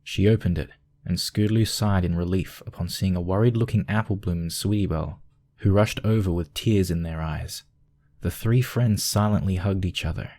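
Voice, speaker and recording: A man's voice: deep voice, warm tone. A man narrating a scripted piece of fiction as a storyteller.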